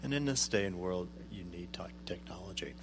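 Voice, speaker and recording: A middle-aged man with a very low, deep voice speaks in a measured, explanatory way, pausing often with ums. A faint hum sits under the recording. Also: gravelly. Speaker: guest, arts executive director. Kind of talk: radio interview.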